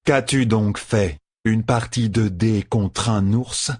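Audiobook fantasy guard, a hard young woman, read by a man: husky, drawn-out, dry and scornful. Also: on the low side, no room sound. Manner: slow, deliberate, dry deadpan. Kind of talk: audiobook fantasy character dialogue.

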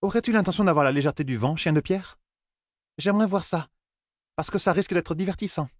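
A man's voice: high voice, quick pace, no echo, studio quality, voice pushed up. A man doing a mocking young warrior woman. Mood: teasing. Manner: mocking, amused. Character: teasing young female spear warrior.

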